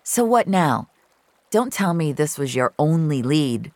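Audio drama role, played by a woman: blunt young online gamer, emotional, slangy, pitched up, dry sound. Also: unhurried, no echo, heavily acted. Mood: exasperated. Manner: slangy, exclamatory, expressive.

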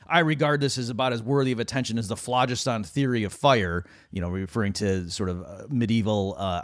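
An animated man co-hosting a conversational talk show. His voice sits at an ordinary middle pitch, and he slips into quick put-on voices when he quotes others. The recording is dry and close, with no room sound. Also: no room sound. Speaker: co-host. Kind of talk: conversational podcast discussion.

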